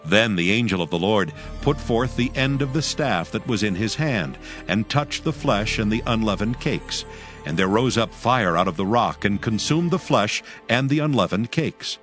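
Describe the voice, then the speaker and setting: A man reads scripture as the narrator of an audio drama. His voice sits fairly low, and the delivery is formal and measured, with soft music behind. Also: lively intonation.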